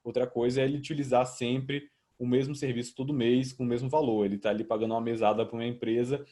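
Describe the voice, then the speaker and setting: A man's voice: medium pitch, little pitch movement, fast, mellow. A young man with a Brazilian accent presenting a student project in a didactic, conversational but semi-formal way.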